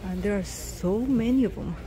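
A young woman chatting casually and excitedly to her phone camera while shopping and then eating out. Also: medium pitch, moderate pace. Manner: chatty, excited, exclaims over cute things. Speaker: vlogger. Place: busy store and fast-food restaurant. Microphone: handheld phone camera. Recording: noisy public place ambience.

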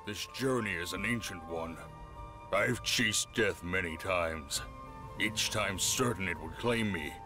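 Man voicing a very deep, slow, grim orc warrior. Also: music in the background. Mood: grim, solemn. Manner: solemn, archaic, deliberate speech. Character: grim male orc warrior, weary, solemn, death-seeking. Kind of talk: fantasy game dialogue acting.

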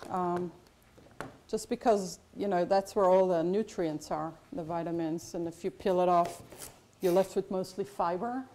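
A woman's voice: lowish voice, fairly even intonation, measured pace, warm tone, a little hiss or hum. A middle-aged woman chef giving a casual cooking demonstration in a large kitchen classroom, chatty and explanatory with lots of fillers.

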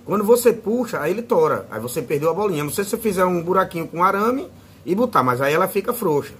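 A man's voice: high voice, big pitch swings, fast talker, a bit bright, slight background noise. A man with a Northeastern Brazilian accent giving a casual, informal hands-on tutorial as a fishing channel host. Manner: informal, fond of diminutives.

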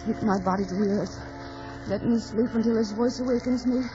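A woman's voice: a little high, a little fast, natural voice. An actress playing a headstrong, anguished young woman in love, melodramatic and pleading in old radio drama. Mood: anguished longing. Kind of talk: 1940s radio drama acting. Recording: dull old radio recording, music behind.

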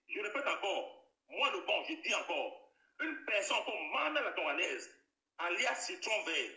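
A man's voice: high-pitched, bright, forceful tone. A man, talking emphatically on a video call and repeating himself. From Bamenda, Cameroon. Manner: emphatic, repeats himself, hedges with air quotes. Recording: roomy echo, distant from the mic.

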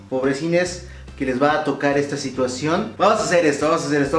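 A young adult man with a Mexican accent chatting casually and playfully to viewers as a vlog host, slangy and often repeating himself. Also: fairly high pitch. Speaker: YouTube prankster host. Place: small indoor room with shelves. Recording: roomy echo, light music behind.

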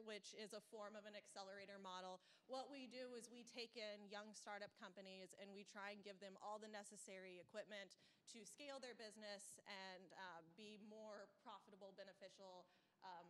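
A young woman opens a panel discussion in a brisk, upbeat way, using a handheld microphone in a lecture room full of people. Her voice sits at an ordinary pitch. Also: fairly even intonation, a bit bright, a little hiss or hum. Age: young adult. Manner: brisk, upbeat, lots of fillers. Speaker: panel moderator. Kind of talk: moderating a panel.

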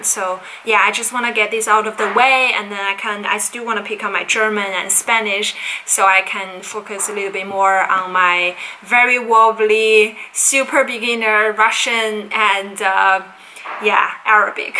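Chatty young woman, bright voice. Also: mid-range voice, slight background noise, low-quality recording. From China. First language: Chinese. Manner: chatty, rambling, with frequent fillers and restarts. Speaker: language vlogger. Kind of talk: unscripted casual vlog. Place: home study with bookshelves, indoors.

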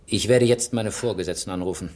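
An actor playing a shrewd, sardonic police inspector who probes and gives orders in a German radio crime play. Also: male voice, on the low side, brisk, darkish tone, dry recording, lightly acted. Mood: stern. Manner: probing, sardonic, commanding.

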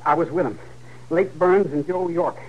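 An actor playing a defiant, earnest teenage frontier son with a rural American Western drawl in a 1950s radio western. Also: male voice, a little high, moderate pace, narrow, tinny audio, natural voice. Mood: earnest, uneasy. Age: young adult. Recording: old 1950s radio recording.

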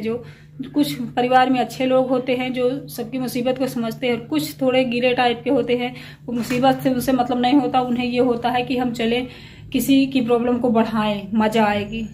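A woman's voice: fairly high pitch, a little mellow. A middle-aged woman chatting casually and confidingly to viewers at home, rambling, sounding unwell, tired and slightly breathless. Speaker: small family vlogger. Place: at home, alone. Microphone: handheld phone. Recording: clearly noisy handheld phone recording.

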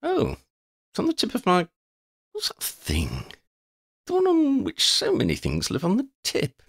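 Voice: medium pitch, wildly swooping pitch, measured pace, dry recording, studio quality, pitched above the performer's own voice, full character voice. A man voicing a gangly, muddle-headed wandering vagabond in a storybook, with quaint old-fashioned phrasing and a rambling, easily distracted manner. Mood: groping, flustered.

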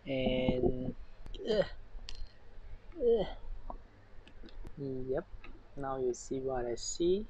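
A man's voice: medium pitch, sing-song, very slow, creaky, long pauses, noisy background. A young man in his twenties with a Singaporean accent, vlogging to his phone in a cluttered home workshop. He thinks aloud in a self-conscious way. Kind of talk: casual self-filmed vlog. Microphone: phone camera with clip mic.

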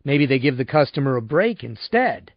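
A man's voice: medium pitch, sing-song, moderate pace, dry recording, lo-fi sound. A man voicing a stubborn, impatient male customer with a curt, sardonic manner in an audiobook.

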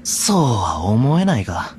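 Dry, exasperated young anime knight, animated. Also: male voice, medium pitch, unhurried. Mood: wry, reflective. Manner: blunt, sardonic retorts. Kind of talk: Japanese anime game voice acting. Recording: light background music.

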